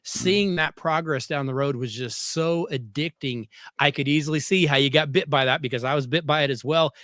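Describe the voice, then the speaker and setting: Higher-voiced American male podcast host. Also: a little fast, fairly bright tone, no echo, clean studio sound. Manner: earnest, emphatic, repeats phrases. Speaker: podcast host, former coach. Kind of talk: conversational interview.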